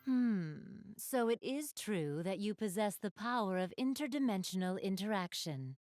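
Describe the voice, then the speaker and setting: Refined, gracious young goddess, dry sound. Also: female voice, medium pitch, no echo. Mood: courteous, curious. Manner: formal, refined, gently ladylike phrasing. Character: gracious, polite young goddess, an avid gamer. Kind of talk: video game voice acting, addressing the player.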